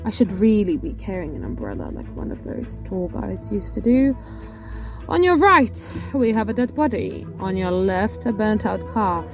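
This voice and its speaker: A woman performs a gleefully macabre henchwoman in an audio drama. She is playful and sing-song, her pitch bouncing around like a mock tour guide, with faint music behind. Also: sing-song, normal speed, rough recording. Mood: macabre glee.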